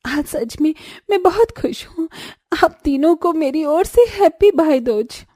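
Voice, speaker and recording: A woman voicing a gentle, sentimental younger sister-in-law in a cartoon, sweet and earnest. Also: high voice, expressive, a little slow, dry recording, clean studio sound. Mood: happy, grateful.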